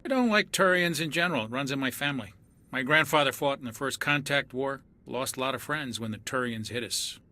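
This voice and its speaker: An actor playing a wary naval officer in a video game, voicing doubts about the mission. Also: male voice, mid-range voice, a little fast, pushed and bright, close to an everyday voice. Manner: suspicious, questioning. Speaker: ship crewman.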